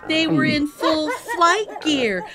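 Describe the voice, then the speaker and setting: A middle-aged woman hosting a children's read-aloud, telling a rhyming storybook in an animated, sing-song way over music. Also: high-pitched, slow pace, very noisy. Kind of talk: expressive rhyming storybook narration. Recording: music behind the voice.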